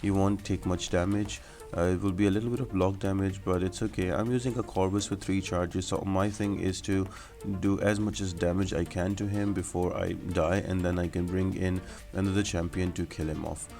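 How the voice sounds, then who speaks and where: A man's voice: sits low, level tone, normal speed, darkish tone, faint music behind. A man giving instructional, explanatory commentary as the host of a video game guide.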